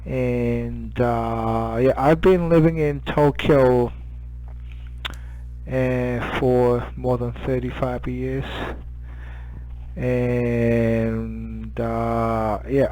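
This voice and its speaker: A man's voice: mid-range voice, flat delivery, slow pace, a little mellow, no room sound, rough recording. A man in his fifties with a Japanese accent, chatting casually as an amateur radio operator, hesitant and searching for words. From Hokkaido, Japan. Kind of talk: casual radio contact chat.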